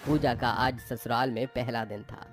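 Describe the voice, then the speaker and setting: Male narrator for animated moral stories: medium pitch, scripted storytelling delivery, light music behind. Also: normal speed.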